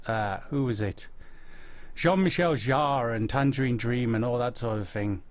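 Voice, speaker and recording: A man in his forties with a Yorkshire accent, casually chatting live and answering viewer questions with self-mocking humour. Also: mid-range voice. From Yorkshire, England. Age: 40s. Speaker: host answering viewer chat.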